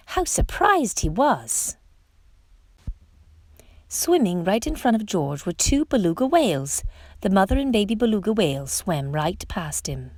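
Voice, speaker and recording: A woman reads a children's story aloud in an expressive storytime style. Her pitch moves a great deal, with dramatic emphasis on exclamations and questions. The recording is dry, with hardly any room sound around her voice. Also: dry recording. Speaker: storytime reader. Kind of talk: read-aloud children's picture book narration.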